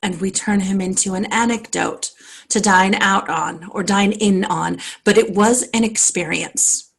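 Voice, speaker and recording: A cultured, wealthy society wife, played by a woman, speaks in an impassioned theatre monologue. Her voice is husky and creaky. She is intense and reflective, weighing her words with deliberate pauses. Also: medium pitch, fairly even intonation, fairly bright tone, exaggerated voice. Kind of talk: dramatic stage monologue. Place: online video call.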